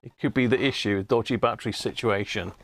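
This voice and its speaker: A man's voice: lowish voice, fast talker, background noise. A British man chatting casually and rambling on a hobby livestream, often muttering to himself as he tinkers. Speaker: livestream host and radio hobbyist.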